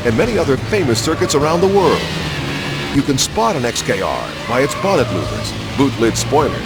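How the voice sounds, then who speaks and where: A man reading scripted promotional documentary narration in a polished, measured announcer style. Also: mid-range voice. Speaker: narrator of a racing game's car showcase. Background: music.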